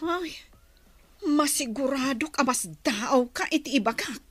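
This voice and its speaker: A woman's voice: sits high, expressive, unhurried, a bit bright. A woman with an Ilocano accent playing a jealous, confrontational woman in an audio drama. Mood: annoyed.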